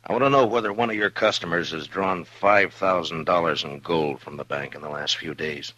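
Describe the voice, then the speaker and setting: Terse frontier marshal, very deep, forceful, old-time radio. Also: male voice, brisk, dry recording, dull audio. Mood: stern. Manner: terse, calm, firm. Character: stern, steady frontier marshal. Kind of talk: 1950s radio drama acting.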